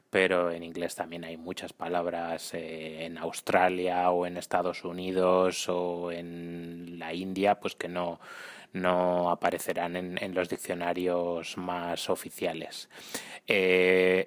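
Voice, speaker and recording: Male caller, deep and even voice, hesitant, restarts his phrases. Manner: careful, slightly hesitant, with many restarts. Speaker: listener sending a voice message. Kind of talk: prepared voice message.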